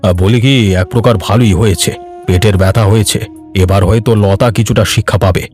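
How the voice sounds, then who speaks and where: A man voicing a generous, genial rich villager in a cartoon, speaking in an unhurried, placating way. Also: fairly low pitch, steady tone, darkish tone, lightly acted. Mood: concerned, advising. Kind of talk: Bengali cartoon dubbing. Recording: music behind the voice.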